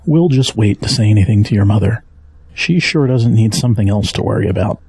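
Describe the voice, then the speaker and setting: Man playing a husky, worried middle-aged dad. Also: lowish voice, lively intonation, brisk, warm tone. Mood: worried, weary. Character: worried, gentle middle-aged father caring for his ailing wife. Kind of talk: audio drama acting.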